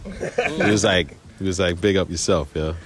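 A man's voice: deep voice, lively intonation, normal speed, slight background noise. A man in a casual chat who chimes in with short supportive remarks.

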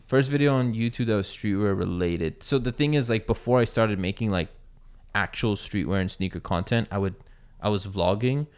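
A man's voice: fairly low pitch, moderate pace, smooth. A man in his thirties, a sneaker YouTuber, chatting casually and rambling with lots of fillers and swearing. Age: 30s. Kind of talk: casual chat.

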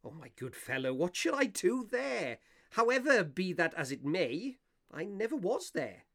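A man acting as a curious, courteous Victorian gentleman who narrates a ghost story in a radio play, with theatrical, deliberate phrasing. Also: high-pitched, sing-song, dry recording, clean studio sound, heavily acted. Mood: courteous, puzzled. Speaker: narrator of an audio drama.

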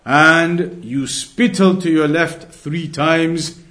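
Guy doing a religious class, voice a bit on the high side, explains step by step and repeats the main bits. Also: fairly even intonation, extremely slow, sharp tone. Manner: didactic, repeats key phrases for emphasis. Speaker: religious teacher. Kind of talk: religious lesson, explaining point by point.

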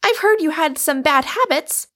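A woman voicing an indignant, forceful young heiress in audiobook dialogue, with emphatic, scornful outbursts. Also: high voice, animated, extremely slow, pitched above the performer's own voice, full character voice. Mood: indignant rage.

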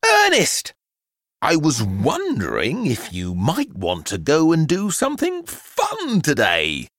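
A man's voice: a little high, wildly swooping pitch, slow, a bit bright, no room sound, studio quality, pitched above the performer's own voice, full character voice. A man voicing a jolly but long-suffering mother in a storybook, coaxing and effusive.

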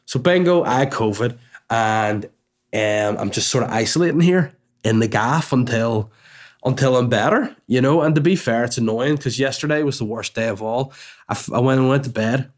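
A man's voice: medium pitch, moderate pace. A man with a stuffy, congested voice, telling a rambling, chatty personal story at home as a podcast host, with swearing and asides. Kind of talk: casual storytelling monologue.

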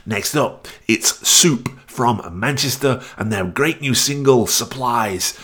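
A man's voice: medium pitch, slow, fairly bright tone, slightly rough. A man hosting a new music radio show, presenting links between songs in a radio DJ style.

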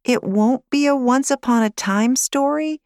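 Woman voicing a high-pitched, eager little girl. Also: a little slow, dry recording, clean studio sound. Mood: doubtful. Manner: pleading, excitable. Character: eager, pouting six-year-old girl, sweet granddaughter. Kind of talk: audiobook character voice.

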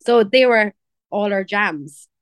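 A woman's voice: medium pitch, expressive, slow pace, clear, resonant tone, no room sound. A young woman from Cork with a Cork Irish accent, chatting casually as an interview guest, laughing and quoting others. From Ireland. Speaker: pop singer, guest.

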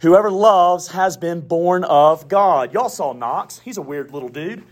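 Man, Southern accent, pastor, sermon, high voice, folksy, swings loud and soft. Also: unhurried, slight background noise. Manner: emphatic, swings between loud declarations and quiet asides, folksy humor.

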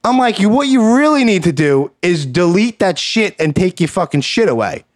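Brooklyn-accented male host, brash and swearing a lot, fairly high voice, dry close sound. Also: moderate pace, dry recording. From New York. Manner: profane, animated ranting. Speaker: podcast host. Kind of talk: casual chat. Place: house.

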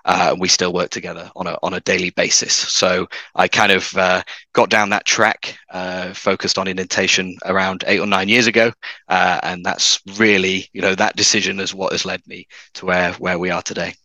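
Low-voiced Manchester man, bright tone. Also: level tone, normal speed, dry recording, rough recording. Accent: Mancunian. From north of England. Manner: hesitant, many "uh"s and restarts. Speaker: guest, materials scientist. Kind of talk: podcast interview.

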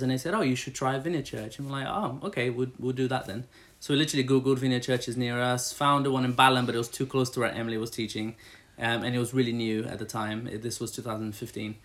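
A man in his thirties who grew up in Brazil, rambling chattily through interview answers about his church job, with many fillers and restarts. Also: mid-range voice. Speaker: church staff member.

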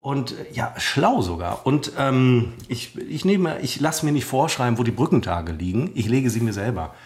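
A man's voice: medium pitch, sing-song, normal speed, a little mellow. A German man co-hosting a casual podcast chat, rambling and self-deprecating with many hesitant fillers. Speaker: podcast co-host.

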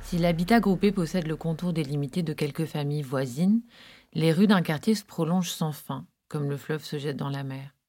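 A woman's voice: low voice, moderate pace, mellow. A woman narrating a podcast in a reflective, literary manner with conversational asides.

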